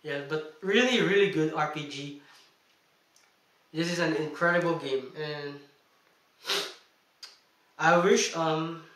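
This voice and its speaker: Bright-voiced man in an echoey room. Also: fairly high pitch, takes it slowly, pauses often, distant mic. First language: Tagalog. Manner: chatty and emphatic, laughing between points. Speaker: gaming vlogger. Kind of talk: casual video review. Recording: echoey room, mic set back.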